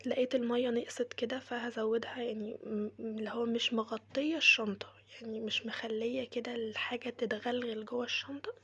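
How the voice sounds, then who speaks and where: Breathy whispering Egyptian woman with a cold. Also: fairly high pitch, steady tone, brisk, highs cut off. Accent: Egyptian Arabic. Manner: soft, mostly whispered, chatty asides. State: has a cold, voice changed. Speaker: crochet maker demonstrating cleaning. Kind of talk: casual how-to tutorial.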